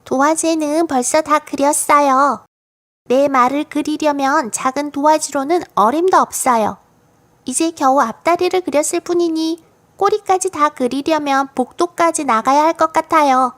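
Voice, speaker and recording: Storybook little girl, played by a woman: high voice, cheeky, confident, matter-of-fact about the wildest tall tales. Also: moderate pace, natural voice. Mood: breezy, matter-of-fact. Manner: matter-of-fact, playful tall-tale telling. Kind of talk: children's storybook audiobook acting.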